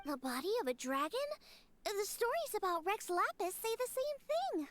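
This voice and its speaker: A woman voicing a cheerful, energetic young girl chef in a video game, eager and friendly. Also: extremely high pitch, normal speed, a little hiss or hum, heavily acted. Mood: curious, teasing. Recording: loud game music behind. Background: video game music.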